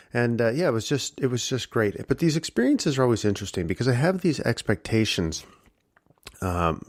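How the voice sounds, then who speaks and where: A man hosting a casual solo podcast with a husky voice, reflective and rambling with many fillers. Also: on the low side, dry recording, studio quality. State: early-morning tired voice. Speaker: podcast host.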